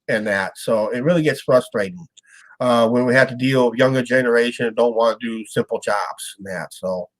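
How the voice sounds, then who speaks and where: Stuffed-up male host from Iowa, halting rambler, mid-pitched voice. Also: low-quality recording. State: stuffed-up nose. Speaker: show host. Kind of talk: casual live-stream chat.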